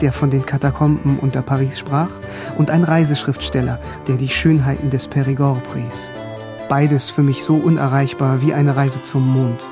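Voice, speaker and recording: A man's voice: fairly high pitch, close to an everyday voice. A man playing a young philosophy student in a radio drama, telling his story in the first person in a plain voice. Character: young male philosophy student, narrating his own memories.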